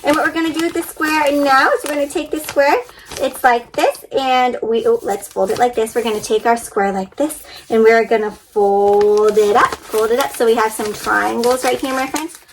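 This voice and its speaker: High-pitched woman, children's storybook reader. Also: moderate pace, a little hiss or hum, rough recording. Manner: animated, childlike wonder, exaggerated expression; addresses young listeners directly with questions and sound effects. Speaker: children's read-aloud storyteller. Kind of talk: interactive storybook reading and paper-folding instruction.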